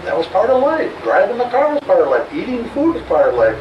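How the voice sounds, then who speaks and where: Guy confessing on an old interview tape, quick talker, far off in an echoey room, hissy and dull. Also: high voice. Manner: plain, flat confessional talk with restarts. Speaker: confessing suspect. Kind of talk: recorded police interview.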